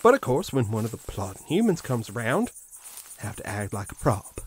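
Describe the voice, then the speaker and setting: A man's voice: medium pitch, big pitch swings, brisk, husky. A man playing a proud, mischievous living scarecrow who guards a farm, musing in a theatrical, pompous way in an audio drama monologue. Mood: haughty, aggravated. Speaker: voice actor.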